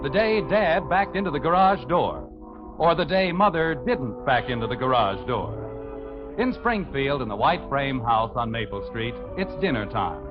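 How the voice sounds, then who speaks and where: A man with an American accent, announcing and narrating in scripted 1950s radio style. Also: fairly high pitch. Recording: muffled old radio recording. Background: music.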